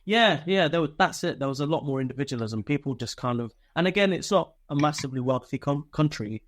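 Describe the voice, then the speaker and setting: A man's voice: a little high, expressive, fast talker. Chatty man telling his story. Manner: chatty, informal, swears freely, chuckles often. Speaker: interview guest, former soldier. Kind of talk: casual personal storytelling.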